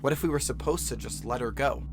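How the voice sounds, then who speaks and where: With clear noise in the background, a bookish young student played by a man speaks at a middling male pitch. He is insistent and controlling, pressing his point in audio drama style. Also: fast, close to an everyday voice. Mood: urgent, persuasive. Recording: noticeable background noise and ambience.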